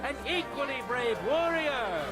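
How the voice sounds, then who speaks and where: Game role: proud tournament announcer, unhurried theatrical proclamations in a high projected voice, roaring crowd behind. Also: male voice, expressive. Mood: ceremonious, wry. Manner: booming, ceremonious, projecting to a crowd. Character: proud male medieval tournament herald rousing a crowd. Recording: loud music and crowd behind voice.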